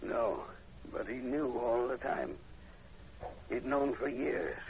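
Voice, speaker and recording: A kindly, genteel professor in a vintage radio horror drama. His voice sits at a middle pitch. The old recording is dull with faint noise. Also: lively intonation, takes it slowly, darkish tone. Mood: grave. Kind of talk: 1940s radio horror acting.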